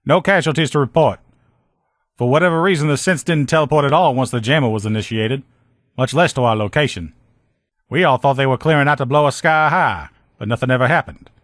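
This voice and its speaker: A man's voice: mid-range voice, a little fast, a bit bright, no room sound, close to an everyday voice. A man voices a loyal, upbeat army lieutenant who fixes armor, written for a video game. He talks like a plain-spoken, folksy soldier, informal and sure of himself. The sound is dry, with no room around the voice. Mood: brisk, assured. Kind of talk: video game character dialogue.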